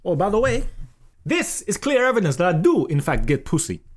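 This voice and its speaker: High-pitched, lively young-sounding man narrating news. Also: brisk, faint music behind. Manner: sarcastic, jokey, casual with swearing. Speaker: video host. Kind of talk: scripted esports news narration.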